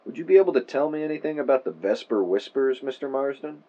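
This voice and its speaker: A man's voice: mid-range voice, lively intonation, muffled recording, natural voice. An actor playing an earnest, inquisitive podcaster in his thirties in an audio drama, with a theatrical bent. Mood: inquisitive, polite. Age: 30s. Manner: measured, theatrical when narrating. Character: earnest 32-year-old male podcaster, inquisitive, theatrical narrator. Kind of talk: audio drama, moody scripted narration and interviewing.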